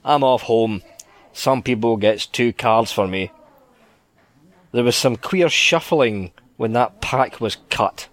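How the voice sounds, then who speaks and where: A man voicing a crusty, scheming devil who bargains gruffly and colloquially in a sea tale. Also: medium pitch, takes it slowly, dry recording, close to an everyday voice. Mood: grumbling. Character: the devil, male, crusty but easily delighted schemer. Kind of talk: storybook character voice in sea yarn.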